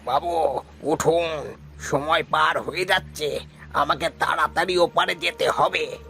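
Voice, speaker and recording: Male actor as old ghost carter, cartoon; bright, slightly high voice; slow, quiet menace. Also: gravelly, soft background music, full character voice. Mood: ominous. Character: eerie old male cart driver ghost, calm and knowing. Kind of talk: cartoon voice acting.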